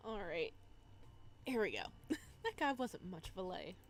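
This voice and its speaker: A woman's voice: mid-range voice, very animated, measured pace. A woman playing through a visual novel, reading narration aloud and breaking in with casual, playful, teasing commentary. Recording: noticeable background noise.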